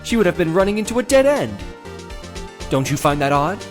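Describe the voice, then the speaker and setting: An earnest young male defense attorney in a game drama. His voice sits a little high and swings expressively, with emphatic, declamatory phrasing and a sardonic edge. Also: sing-song, a little fast. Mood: accusing, confident. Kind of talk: dramatic voiced-over video game courtroom dialogue. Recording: music behind the voice.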